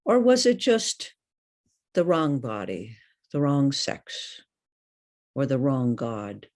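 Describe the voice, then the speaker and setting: An older woman reading poetry aloud in a deliberate, questioning manner. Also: a bass voice, sing-song, a little mellow. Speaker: poet.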